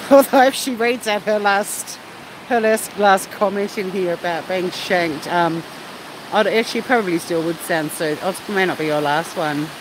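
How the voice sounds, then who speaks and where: A woman's voice: on the low side, measured pace. A woman with an Australian accent chatting casually and cheekily as a live stream host, replying to viewers outdoors at the beach. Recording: loud steady wind or surf noise.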